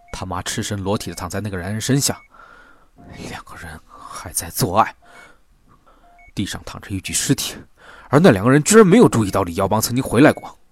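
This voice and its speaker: A man acting a sombre investigator in a Chinese audio drama, recounting things gravely and in a measured way. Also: fairly low pitch.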